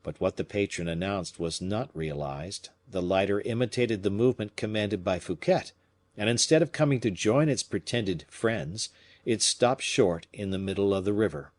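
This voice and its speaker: A man narrating a classic novel as an audiobook reader, measured and expressive. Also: on the low side.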